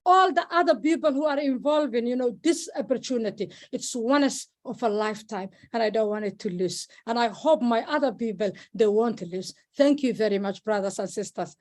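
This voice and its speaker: A woman from Somalia with a Somali accent speaks at an online meeting over a video call, halting and repeating words, with many fillers. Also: a little high, a little mellow. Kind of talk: informal speech at an online meeting.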